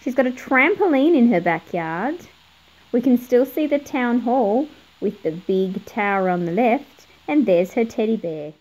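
A woman's voice: fairly high pitch, animated, a little slow, a little mellow, poor audio. A middle-aged woman narrating a picture book for children, explaining things and putting questions to young viewers. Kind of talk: read-aloud narration for children.

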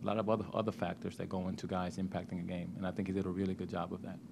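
Low-voiced coach at a press table. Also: male voice, level tone, creaky, noisy background. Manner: measured, repeats words when starting sentences. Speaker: head basketball coach. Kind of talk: postgame press conference answers. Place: press room. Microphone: table microphone.

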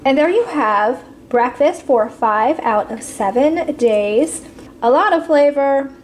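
A woman with a somewhat high voice walks through budget recipes in a relaxed, step-by-step way, pausing with casual fillers. Faint background noise sits under her voice. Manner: friendly step-by-step instructions with fillers. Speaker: cooking channel host. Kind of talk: casual cooking voice-over. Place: home kitchen.

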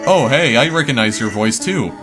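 A man voicing a gentle, motherly goat-woman queen who speaks softly and politely, with music in the background. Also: medium pitch, lively intonation, normal speed, pitched below the performer's own voice, close to an everyday voice. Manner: soft, polite, caring.

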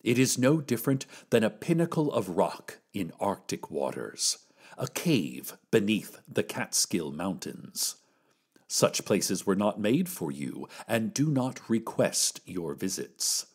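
A man's voice: deep voice, breathy edge, full character voice. A man playing a solemn, cryptic keeper of a magical stairway, giving formal, ominous warnings in audio fiction. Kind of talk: scripted audio-fiction monologue.

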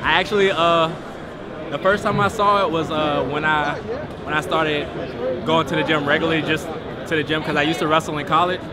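A man's voice: a little high, fairly even intonation, fairly quick. A young man giving a casual fan testimonial into a camera microphone. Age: young adult. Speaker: fan interviewed at an expo. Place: crowded convention hall. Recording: loud convention crowd, camera audio.

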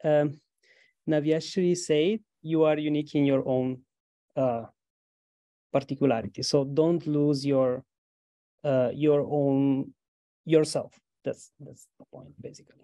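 Italian accent, grad student panelist, earnest, mellow tone, dry close sound. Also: medium pitch, fairly even intonation, a little slow, pauses often, no echo, dull audio. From Italy. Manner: earnest, hesitant fillers. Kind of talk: casual storytelling on a panel.

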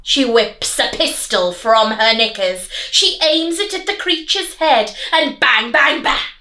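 A young woman teacher reading and chatting expressively to children, clearly enunciated. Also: on the high side, lively intonation, takes it slowly, sharp tone. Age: young adult. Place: small living room, on a sofa.

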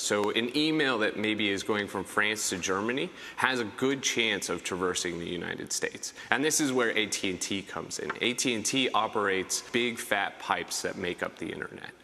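A man answering a television interview in a clear, explanatory way, played back through hall speakers. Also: fairly low pitch, sharp tone, a little hiss or hum. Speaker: interviewed journalist. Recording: TV clip replayed in a hall.

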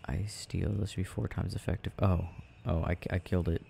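A man murmuring haltingly to himself in an ASMR style as he rambles while playing a video game. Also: sits low, flat delivery, gravelly, mellow, slight background noise. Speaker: audio performer playing a boyfriend gaming.

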